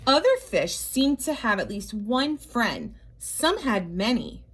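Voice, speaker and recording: Female storybook reader for young children: slightly high voice, very leisurely delivery, stretches key words, talks to the listeners. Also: slightly rough. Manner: expressive, drawn-out emphasis with chatty asides to young listeners.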